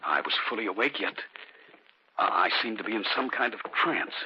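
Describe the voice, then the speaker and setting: An actor in an old radio drama playing a husband who narrates his eerie story in a measured way. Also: fairly low pitch, expressive, slight background noise, lightly acted. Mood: uneasy. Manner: measured first-person narration. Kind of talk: 1940s radio acting. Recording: muffled old radio recording with music.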